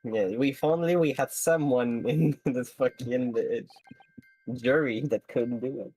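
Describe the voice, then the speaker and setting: A man's voice: mid-range voice, slow pace, dark tone, slightly rough, no room sound, poor audio. A man in casual livestream chat with friends, rambling and swearing. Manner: rambling, profane.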